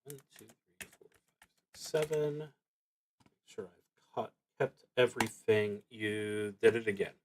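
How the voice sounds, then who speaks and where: A man narrating a solo tabletop game, reading cards aloud and thinking out loud in a casual, wry way. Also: on the low side, measured pace, long pauses, background noise. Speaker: solo roleplaying game streamer.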